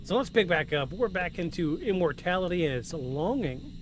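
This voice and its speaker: A man's voice: high voice, very animated, moderate pace. A man hosting a live podcast from home, effusive and given to repeating himself for emphasis, moving between scripted narration and casual asides. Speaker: podcast host. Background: music.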